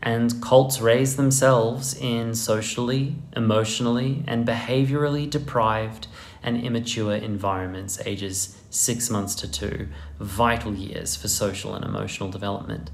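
A man's voice: lowish voice, fairly even intonation, creaky, a little hiss or hum. A man, narrating a scripted video essay in an earnest, emphatic way, recorded close to the camera in a small room. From Netherlands. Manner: earnest, emphatic, deliberate delivery. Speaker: horse trainer presenting a video essay. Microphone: close camera framing, head and shoulders.

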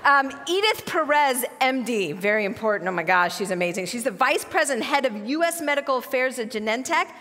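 Excitable high-voiced American woman hosting. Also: brisk, hardly any pauses. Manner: informal, excitable, gushing. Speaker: event host. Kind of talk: casual stage introduction. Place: large theatre stage, indoors. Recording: reverberant hall, faint crowd and noise.

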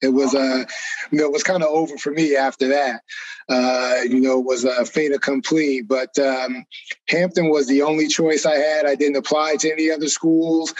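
A man's voice: medium pitch, little pitch movement, moderate pace, dry recording. A man alumnus on a video call panel, reflecting earnestly on his path. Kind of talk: panel storytelling. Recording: dry, slightly dull call audio.

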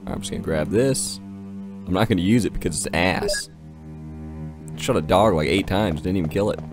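A man's voice: low voice, normal speed, vocal fry, music in the background. A man giving casual let's play commentary, crude and exclamatory, swearing freely. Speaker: gaming video host.

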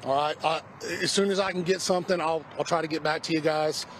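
A middle-aged man, a fire department spokesman, giving a halting outdoor press briefing, stumbling and repeating words when unsure. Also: a little high, fairly even intonation, a bit bright. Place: outdoors by a parking lot. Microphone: news camera mic, close. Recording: outdoor street noise.

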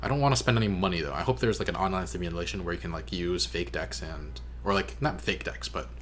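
A man in his twenties giving casual, amused reaction commentary in a halting way, at a desk mic in a bedroom. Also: low-pitched, fairly bright tone. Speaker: reaction video host. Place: small bedroom. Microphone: desk microphone close by. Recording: faint background hum.

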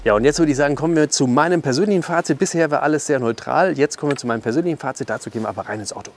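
A man in his thirties reviewing a campsite in a relaxed, chatty way, talking from inside his camper van with a clip-on mic. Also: a little high, rapid-fire, music in the background. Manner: chatty, animated, gesturing with hands. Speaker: camping vlogger. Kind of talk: casual review vlog with voice-over. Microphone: small clip-on mic.

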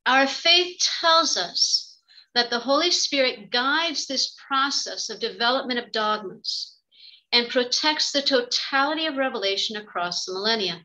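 Bright, higher-voiced woman giving a formal lecture. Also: lively intonation, low-quality recording. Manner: measured, formal. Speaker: theology professor and religious sister giving a talk. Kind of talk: prepared academic lecture read aloud. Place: indoor office, on a video call. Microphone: computer microphone on a video call.

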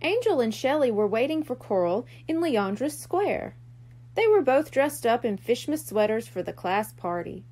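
A woman narrates a children's storybook as a reader. Her voice is high and her delivery is expressive, with lively rises and falls. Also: normal speed.

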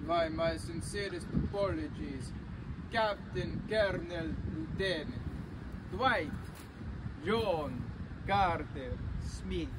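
A young man playing a mockingly courteous Soviet officer in an amateur war parody, speaking formally and haltingly. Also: a little high. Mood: smugly polite. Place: open urban field outdoors. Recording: very noisy windy outdoor recording.

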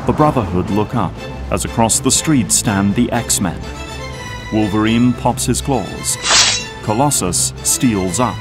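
A man's voice: fairly low pitch, animated, takes it slowly, loud music behind the voice. A man narrating an action audio drama from a script.

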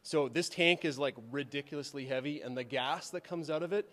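A man's voice: a little high, brisk, fairly bright tone. A man in his thirties explaining science informally and emphatically, like an enthusiastic teacher in an interview. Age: 30s. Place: large school science classroom. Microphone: handheld reporter microphone. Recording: long echo of a large room.

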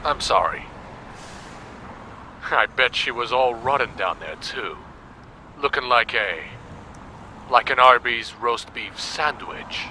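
Actor as a sharp, forceful, crude male boss. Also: medium pitch, animated, slow pace, pauses often, a little hiss or hum, full character voice. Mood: crude, smug. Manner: vulgar, brash, blunt. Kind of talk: audio drama acting.

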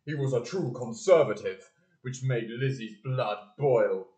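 A man's voice: mid-range voice, measured pace, dark tone, lightly acted. A young man playing a storyteller, giving scripted narration in an amateur audio drama. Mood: measured, wry. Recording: echoey hall.

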